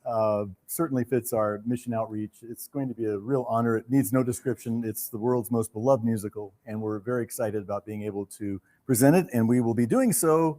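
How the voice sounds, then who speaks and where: A middle-aged or older man hosting a theater event, making announcements to an audience in a formal, gracious and halting manner. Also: medium pitch, mellow. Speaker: theater host announcing a new season.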